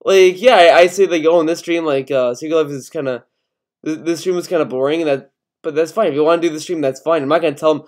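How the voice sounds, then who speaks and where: Sex: male. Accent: American. Pitch: slightly high. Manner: profane rambling rant. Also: fast talker. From Connecticut, USA. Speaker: YouTuber. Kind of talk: casual ranting vlog commentary.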